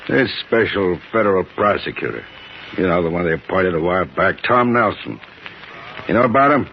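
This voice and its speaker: An actor playing a tough, suspicious gangster boss, brusque and slangy, in 1950s radio crime drama style. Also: male voice, low-pitched, animated, normal speed. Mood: subdued. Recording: noisy, muffled 1950s radio recording.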